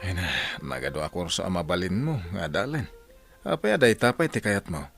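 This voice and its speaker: A man's voice: low-pitched, sing-song, fairly quick, gravelly, a bit bright, full character voice. A man playing a devoted, earnest father in an Ilocano village radio drama. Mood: tender, concerned. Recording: music under the voice.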